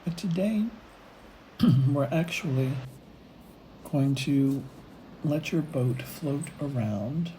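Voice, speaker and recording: A woman leading a guided meditation, speaking in unhurried phrases with long pauses between them. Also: deep voice, mellow, slight background noise, poor audio. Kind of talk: guided meditation, gentle imagery narration.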